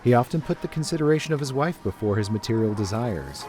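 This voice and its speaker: A man's voice: mid-range voice, expressive, quick pace. A man reading scripted story narration as a narrator, with music behind him.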